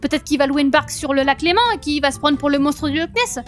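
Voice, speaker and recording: Casting: female actor for bossy, quick-witted time traveller, high-pitched, comic audio serial. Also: fairly even intonation, faint music behind, natural voice. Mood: flustered improvising. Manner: colloquial, assertive. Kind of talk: comic audio drama acting.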